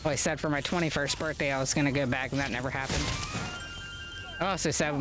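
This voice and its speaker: A woman's voice: low voice, fairly even intonation, music in the background. A woman hosting a gaming stream, chatting casually and rambling with fillers as she plays at home. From United States. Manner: rambling, unhurried, with frequent fillers and chuckles. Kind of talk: casual chat while playing a game. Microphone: webcam setup.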